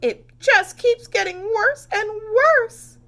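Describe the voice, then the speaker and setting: Storybook mother at her wits' end, played by a female reader: high, tearful, loud, dramatic. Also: takes it slowly, exaggerated voice. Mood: despairing. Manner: wailing, dramatic.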